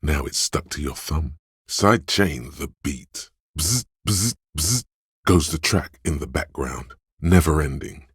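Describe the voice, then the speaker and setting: A man voicing the brooding, sly inner voice of a detective's mind in a video game, narrating in a measured, theatrical way with a gravelly, husky voice. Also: a bass voice, expressive, dry recording, studio quality, full character voice. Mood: wry, deliberate. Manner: measured, deliberate, theatrical delivery. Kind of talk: dramatic scripted game narration of inner thoughts.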